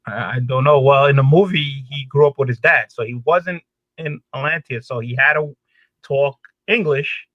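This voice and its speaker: Bright-voiced man, dull lo-fi sound. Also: medium pitch, moderate pace, no room sound. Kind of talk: casual chat.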